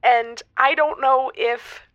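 A dry, close recording of an earnest single woman in her thirties. Her voice is fairly high and bright, and she talks in halting, emotional phrases as a radio drama caller. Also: fairly even intonation, no echo, highs cut off. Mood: frustrated, pleading. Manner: halting, emotional, giggly hesitations. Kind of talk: semi-improvised audio comedy drama.

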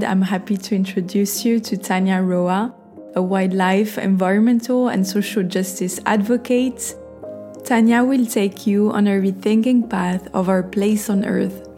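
A woman hosts a podcast in calm, reflective scripted narration. Soft music plays faintly under her mid-pitched voice. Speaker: podcast host.

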